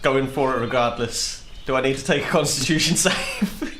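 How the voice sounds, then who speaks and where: A man playing a tabletop game, casually improvising narration of his character's actions in a halting, chuckly way. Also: mid-range voice, noisy background. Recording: roomy, echoing room with others talking.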